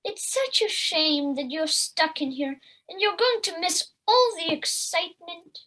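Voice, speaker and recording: A woman's voice: extremely high pitch, animated, unhurried, sharp tone, heavily acted, rough recording. A woman playing a cheeky, teasing female squirrel with a playful, needling manner in an amateur audio play. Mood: chirpy.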